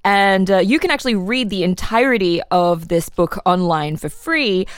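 A woman hosts a podcast in an articulate but informal way. Her voice sits at a medium pitch, and the recording is very dry, with little room sound. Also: normal speed, clean tone, no room sound. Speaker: podcast host. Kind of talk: conversational podcast introduction.

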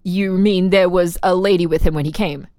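A woman's voice: on the low side, steady tone, moderate pace, a little mellow, no room sound, studio quality, natural voice. A woman reading the part of an insistent official who questions a murder prisoner methodically in a dramatic reading. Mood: probing, insistent. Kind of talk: dramatic reading of an interrogation transcript.

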